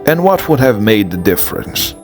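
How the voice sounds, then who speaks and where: A man's voice: fairly low pitch, big pitch swings, moderate pace. Casting: man as mocking mystery figure, very varied intonation, fantasy drama over prominent music. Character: taunting, mysterious male tempter in a dream. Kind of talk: fan dub drama acting.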